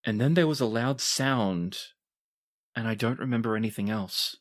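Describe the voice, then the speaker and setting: A man playing a shaken, grieving ship captain in a tabletop game, with halting, bewildered questions. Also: mid-range voice, natural voice. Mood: confused, anxious. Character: shaken, dazed revived male ship captain, grieving father.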